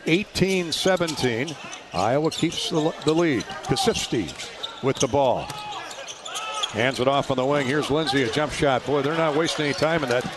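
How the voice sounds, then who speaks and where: A man doing live radio basketball play-by-play in clipped running phrases that rise to shouts on big plays. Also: medium pitch, normal speed. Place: courtside in a basketball arena. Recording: noisy arena ambience, bouncing balls. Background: loud court noise, bouncing basketball.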